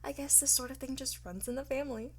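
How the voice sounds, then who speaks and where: A woman playing a cocky, flirtatious art thief in an ASMR roleplay, teasing and smug, often slipping into breathy whispers. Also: sits high, steady tone, quick pace. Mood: boastful, theatrical. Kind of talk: ASMR roleplay, one-sided acted dialogue.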